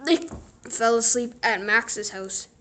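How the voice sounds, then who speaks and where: A woman reading a children's storybook aloud with deliberate phrasing and punchy sound-effect words. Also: on the high side, fairly even intonation, a bit bright.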